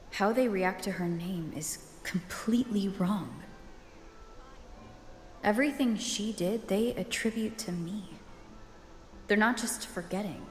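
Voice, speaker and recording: A woman's voice: medium pitch, natural voice. A woman playing an earnest, determined young warrior heroine in a video game, speaking insistently. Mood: troubled, reflective. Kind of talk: scripted video game dialogue.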